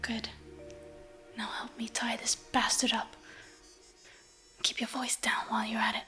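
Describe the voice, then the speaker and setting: A woman whispering as a cold-blooded female noir accomplice in an ASMR roleplay, hushed and commanding. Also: on the high side, flat delivery, a little slow. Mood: cool menace. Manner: hushed, commanding, coolly ruthless. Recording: distant whisper with faint music.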